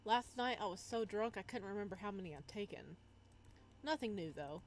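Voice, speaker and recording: A woman's voice: mid-range voice, lively intonation, brisk. A woman playing through a visual novel, reading narration aloud and breaking in with casual, playful, teasing commentary. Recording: noticeable background noise.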